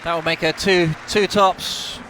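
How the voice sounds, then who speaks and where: An excitable man co-commentates on a climbing competition, with a slightly raised pitch. He gushes and repeats words as he reacts to each move. Loud crowd noise and arena hum sit behind him. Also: unhurried. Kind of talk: live sports commentary. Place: big climbing competition hall with a large crowd.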